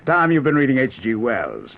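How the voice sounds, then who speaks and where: A thin, old-time radio recording carries a confident, excitable professor. His husky voice rises and dips widely as he explains things with theatrical eagerness, in the style of 1950s radio drama. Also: medium pitch, narrow, tinny audio, exaggerated voice. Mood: amused, lecturing.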